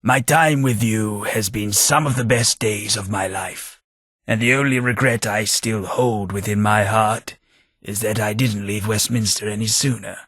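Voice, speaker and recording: A man voicing a genteel, radiation-scarred London gentleman in a video game, formal and dryly witty with old-fashioned diction. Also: on the low side, steady tone, measured pace, no room sound, studio quality. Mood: heartfelt. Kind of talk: video game character dialogue.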